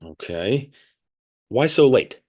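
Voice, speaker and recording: An inquisitive, easily flustered visitor asking questions in an audio drama. His voice is mid-pitched and very lively in intonation, with stammering, hesitant phrasing. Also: slow pace. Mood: curious, puzzled. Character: curious, flustered male interviewer visiting a strange commune. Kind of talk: audio play acting.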